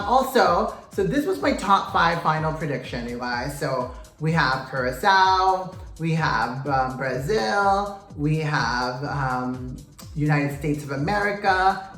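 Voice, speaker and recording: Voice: medium pitch, takes it slowly, fairly bright tone. Sassy pageant vlogger in a roomy room. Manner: sassy, gossipy, addresses viewers as "you guys" and "queens". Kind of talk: casual recap commentary to camera. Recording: roomy echo, faint music.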